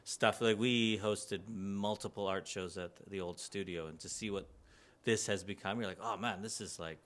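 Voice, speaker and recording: A man with a very low voice and a bright, forceful edge. He tells stories about the old neighborhood in a relaxed way, and his voice sinks quieter as he muses. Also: moderate pace. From Canada. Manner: relaxed storyteller, trails off into quiet musing. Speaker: guest, community arts organizer. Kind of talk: casual interview chat.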